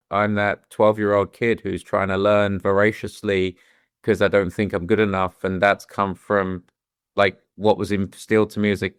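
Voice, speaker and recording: A man's voice: lowish voice, fairly bright tone, smooth, no echo, studio quality. A middle-aged man with a British accent who grew up in London, speaking as an interview guest in a candid, reflective way and often restarting his sentences. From London, England. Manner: thoughtful, with frequent restarts and "you know"s.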